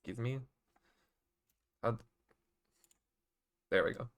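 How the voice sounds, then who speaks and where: A man giving casual livestream reaction commentary as a game streamer, expressive and exclamatory, often halting mid-thought. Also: medium pitch. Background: game trailer music.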